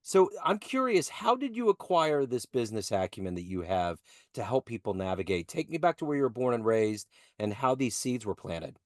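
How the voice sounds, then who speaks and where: A man's voice: mid-range voice, very animated, normal speed, dry recording, clean studio sound. A man hosting an interview podcast, asking questions in a casual, upbeat way. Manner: upbeat, conversational, enthusiastic about local sports. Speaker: podcast host.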